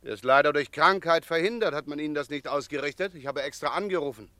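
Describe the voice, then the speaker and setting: Commanding bank robber boss, higher-voiced. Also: male voice, bright, forceful tone, no room sound. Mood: smooth, controlled. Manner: brisk, bossy, curt. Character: commanding male bank robber leader, coolly deceptive. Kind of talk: German radio play acting.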